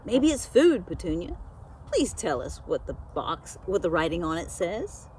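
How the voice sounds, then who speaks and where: A woman voicing excitable barnyard animals in a storybook, exclaiming and pleading. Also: lowish voice, measured pace, a little hiss or hum. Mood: eager, pleading.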